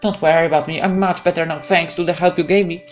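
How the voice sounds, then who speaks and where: A man voicing a sweet, playful young woman from a visual novel, with a gentle, coaxing manner. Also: fairly high pitch, steady tone, fast, soft background music, voice pushed up, lightly acted. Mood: sweet, grateful.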